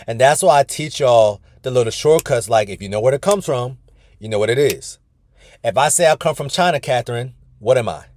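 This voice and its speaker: A man's voice: mid-range voice, lively intonation, a little fast, darkish tone. A man coaching a weight-loss group on a video call from his car, talking casually and often calling the group "y'all". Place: inside his parked car.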